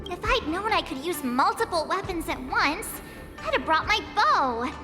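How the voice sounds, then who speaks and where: A woman's voice: very high voice, big pitch swings, normal speed. A woman voicing a cheeky, upbeat young female swordswoman in a game cutscene, chirpy and self-assured. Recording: reverberant mix with game music.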